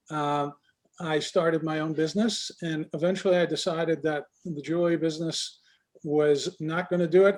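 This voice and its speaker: A man in his sixties tells his own story at a thoughtful, unhurried pace. His voice sits a little higher than most men's. He stops often to search for words, filling the gaps with soft hesitations. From Israel, raised in Canada. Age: 60s. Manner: reflective, halting with many fillers. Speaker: guest, business coach. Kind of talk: personal life story in conversation.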